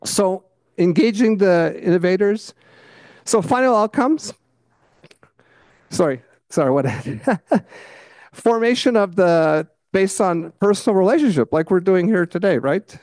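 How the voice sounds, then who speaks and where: A man giving a conference talk over slides, losing his voice, clearing his throat often and tagging points with "right?". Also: high-pitched, animated, unhurried, a little mellow, no echo. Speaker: conference presenter. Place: conference meeting room.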